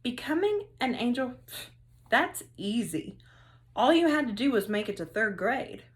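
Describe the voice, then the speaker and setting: A woman's voice: a little high, big pitch swings, unhurried. A woman voicing an upbeat female third-grade teacher in a storybook, emphatic and teasing with her class.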